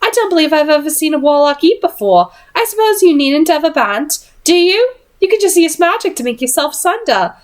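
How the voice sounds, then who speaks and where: A woman's voice: sits high, animated, brisk, pitched above the performer's own voice. A woman voicing a snobbish, spiteful young lady in a storybook, airy and haughty. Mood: airy disdain.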